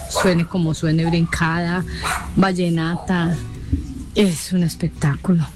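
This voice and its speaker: A woman's voice: fairly low pitch, flat delivery, takes it slowly, music in the background. A woman leaving an enthusiastic, repetitive voice note for a radio show. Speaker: caller.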